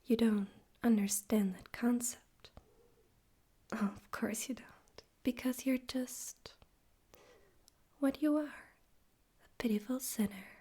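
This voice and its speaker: A woman plays a demon overlord of hell in a whispered ASMR roleplay, soft and husky. The delivery is very slow and deliberate, with a teasing, condescending amusement and quiet menace. The tone stays dark and mellow. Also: a little high. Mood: haughty amusement. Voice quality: breathy whisper.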